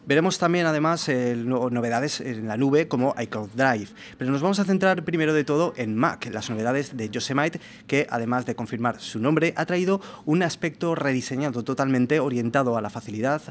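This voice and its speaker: A man's voice: mid-range voice, brisk, few pauses. A young man explains news to camera in the manner of a tech presenter. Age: young adult. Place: meeting room with large window, indoors. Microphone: microphone on a desk arm. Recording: clear background room noise.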